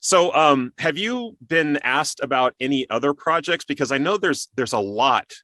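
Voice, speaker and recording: A man's voice: on the high side, animated, moderate pace, bright, forceful tone, dry recording. A man hosting an interview in a casual, conversational style. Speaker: interview host.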